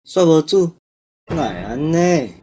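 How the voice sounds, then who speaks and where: A man's voice: on the high side, big pitch swings, takes it slowly, dark tone. A man acting an old Taiwanese village grandpa. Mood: puzzled. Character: kindly old village grandpa, hungry and easily startled. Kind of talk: Taiwanese audio drama acting.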